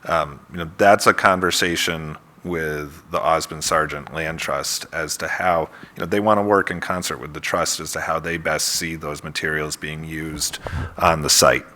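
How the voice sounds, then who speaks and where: A very deep-voiced man speaks for an applicant before a town board, with a bright, forceful edge to his tone. He summarizes carefully and frames each point with measured legal phrasing. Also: steady tone, a little hiss or hum. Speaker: applicant's attorney. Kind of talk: formal advocacy at a public hearing. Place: town hall meeting room.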